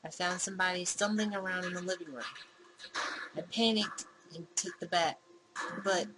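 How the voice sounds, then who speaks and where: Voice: mid-range voice, steady tone, normal speed, noisy background, natural voice. A game host voicing an anxious, pleading young man from a visual novel. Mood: rattled, explaining.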